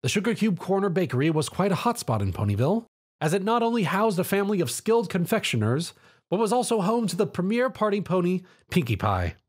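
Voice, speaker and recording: A man reading an action story aloud as a scripted narration in an animated way. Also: high-pitched, fast talker, slightly rough.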